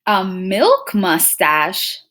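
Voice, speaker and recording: Female children's read-aloud teacher: slow, very lively pitch, slightly high voice, engaging listeners with questions. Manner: expressive, addresses listeners as friends and scholars, checking in with questions. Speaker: teacher reading a picture book aloud.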